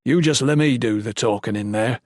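A man acts out a scruffy old seafarer turned priest for an audiobook. He talks in rough, unschooled, folksy phrases and bosses people about. The sound is close and dry. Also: mid-range voice, normal speed, dry recording, natural voice. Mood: gruff, commanding. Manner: uneducated folksy grammar, bossy. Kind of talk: audiobook character voice.

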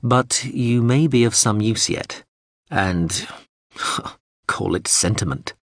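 A man's voice: on the low side, slow pace, fairly bright tone, dry recording, clean studio sound, heavily acted. A man voicing a hard-bitten mercenary commander who speaks bluntly and profanely in an audiobook. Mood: dry. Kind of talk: audiobook character voice.

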